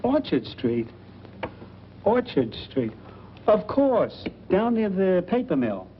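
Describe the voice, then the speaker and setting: An actor playing a nosy, chatty small-town boarder with an American accent, in 1940s film style. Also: male voice, sits high, very animated, takes it slowly, heavily acted. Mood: curious, probing. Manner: chatty, inquisitive. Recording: muffled, faintly noisy old film soundtrack.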